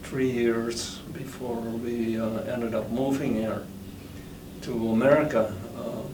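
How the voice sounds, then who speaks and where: An older man born in the Netherlands, with Dutch as his first language, recalling his past slowly with many pauses and fillers in an oral history interview. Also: mid-range voice, little pitch movement. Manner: slow, halting, many fillers. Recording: roomy, distant, noisy recording.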